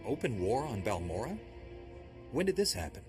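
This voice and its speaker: An actor playing an earnest, questioning young hero of a Jedi order in a video game, speaking directly. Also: male voice, mid-range voice, very animated, moderate pace, music in the background, lightly acted. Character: earnest, doubting male Jedi knight hero. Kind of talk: video game cutscene acting.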